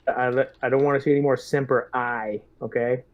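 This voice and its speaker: A man's voice: mid-range voice, steady tone, moderate pace, dull audio. A man playing a tabletop game, casually speaking in character as a military lieutenant. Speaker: player in a tabletop roleplaying game.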